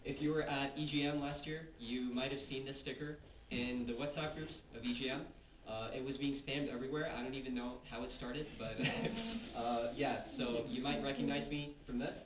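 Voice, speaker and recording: A man's voice: medium pitch, steady tone, normal speed, noisy background. A young man who grew up in Dubai, introducing himself as chair of a student meeting in an upbeat, joking way. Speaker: conference chair. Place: conference hall. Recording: echoey hall, far from mic, tinny.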